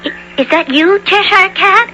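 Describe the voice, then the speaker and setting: A woman's voice: very high voice, a little slow, pushed and bright, exaggerated voice. An actress playing an earnest, polite, easily exasperated young girl in an old-time radio drama, exclaiming and wide-eyed. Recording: noisy old radio broadcast.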